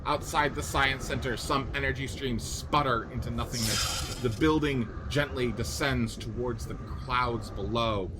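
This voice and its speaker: A man's voice: medium pitch, unhurried, noisy background. A man narrating the action of a scripted radio play in a dramatic manner. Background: music.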